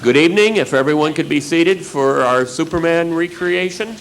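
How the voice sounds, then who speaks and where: A man's voice: on the high side, moderate pace. A man giving an informal live introduction and bantering as the announcer of a radio play recreation. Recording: live room with crowd noise.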